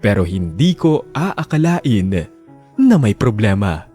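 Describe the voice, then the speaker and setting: A man's voice: on the low side, sing-song, slow pace, mellow, soft background music. A man with a Filipino accent reading a confessional letter as a radio drama storyteller.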